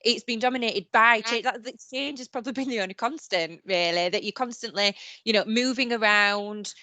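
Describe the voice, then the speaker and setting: A woman's voice: on the high side, a little fast, bright, forceful tone, dry recording. Lady hosting a video call q&a, chatty and hedgy, dry sound. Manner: chatty, hedging, many "you know"s. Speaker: careers adviser and host.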